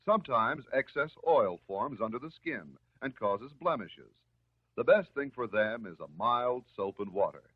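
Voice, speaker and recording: An American man delivering scripted narration in the style of a 1950s educational film, measured, instructive and reassuring. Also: mid-range voice, lively intonation, husky. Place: voice-over recorded for film. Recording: muffled, narrow-band 1950s film soundtrack.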